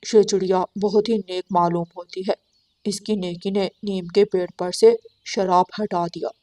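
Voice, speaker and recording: A woman's voice: medium pitch, fairly even intonation, normal speed, mellow, pitched below the performer's own voice, natural voice. A woman voicing a grave, superstitious forest bird in a cartoon, explaining things solemnly. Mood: impressed.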